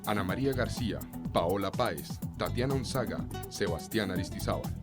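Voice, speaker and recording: A man's voice: on the low side, normal speed. A man reading a scripted radio announcement and credits. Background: loud music.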